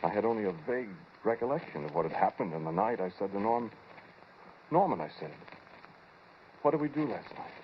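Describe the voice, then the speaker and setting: Guy playing a wry skeptical american scientist boss, deep voice, swingy delivery, muffled old radio play. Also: animated, a little fast, long pauses, lightly acted. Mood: haunted, reflective. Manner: wry, theatrical. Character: wry, skeptical male scientist boss, teasing then haunted. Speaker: actor and narrator in a radio play. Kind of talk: 1940s radio drama acting. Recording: muffled, noisy old 1940s radio recording.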